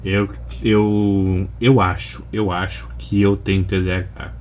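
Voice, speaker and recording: A Brazilian man giving casual reaction commentary in a halting, slangy way. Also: sits low, level tone, a little hiss or hum. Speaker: reaction video host.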